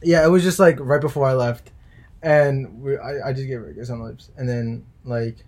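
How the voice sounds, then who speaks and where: A young woman with a slight lisp in a casual chat with friends, halting and insistently repeating words. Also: extremely low pitch, steady tone, dark tone. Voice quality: slight lisp from dental aligners.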